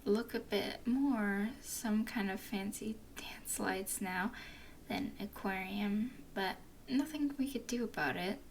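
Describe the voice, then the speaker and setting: A woman voicing a flirty, playful female werewolf guide in an ASMR roleplay, speaking in soft, teasing whispers. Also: medium pitch, takes it slowly, slight background noise.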